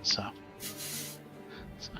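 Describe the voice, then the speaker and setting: A man playing a tabletop game, casually describing a character in a hesitant, halting manner through dull audio. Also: music in the background. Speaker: player in a tabletop roleplaying game.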